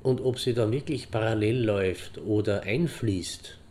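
An actor as a mellow, slow, thoughtful man. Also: lowish voice, close to an everyday voice. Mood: pondering. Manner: measured, pondering. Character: thoughtful man philosophising about parallel worlds. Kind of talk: radio play monologue. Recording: faint background noise and ambience.

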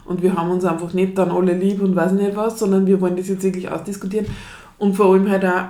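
A woman's voice: mid-range voice, little pitch movement, fast talker, dark tone. A woman hosting a podcast interview, asking open questions in a conversational way. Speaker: podcast host. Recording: roomy echo.

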